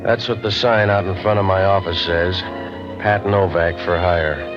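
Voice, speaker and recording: An American man playing a cynical, wisecracking hardboiled waterfront detective in an old radio drama, with a deadpan, sardonic delivery. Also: low voice, normal speed, loud music behind the voice, lightly acted. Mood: wry, world-weary. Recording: muffled old radio broadcast recording.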